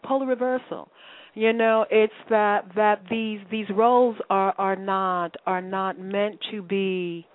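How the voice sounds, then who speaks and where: Woman host teaching over a phone line. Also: fairly high pitch. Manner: reflective, teacherly, with restarts. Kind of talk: spiritual call-in radio talk. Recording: phone-line call-in audio.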